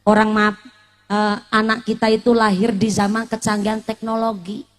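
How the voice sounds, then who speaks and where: A woman's voice: mid-range voice, normal speed. A woman in her late twenties giving a humorous sermon, teasing the congregation with questions and mixing in regional words. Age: late 20s. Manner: lively, teasing, asks the audience questions, mixes in Javanese and Sundanese words. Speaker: preacher.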